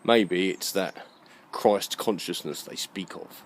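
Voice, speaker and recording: A man giving a rambling, halting monologue as he walks outdoors, musing and questioning himself. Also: low-pitched, slight background noise. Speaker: vlogger. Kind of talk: rambling walk-and-talk monologue. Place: outdoors, walking along a muddy alleyway.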